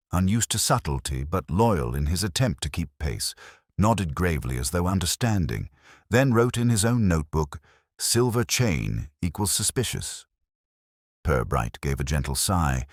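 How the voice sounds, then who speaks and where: A man narrating a scripted mystery story in a measured, dryly wry manner. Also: low voice, no room sound, clean studio sound.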